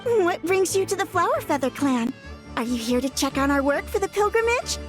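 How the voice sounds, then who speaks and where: Game casting: deferential female villager, earnest, extremely high voice over faint music. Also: big pitch swings, a little fast. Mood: reassuring. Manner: respectful, earnest. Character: polite, eager female clan villager, deferential and reassuring. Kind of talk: video game voice acting.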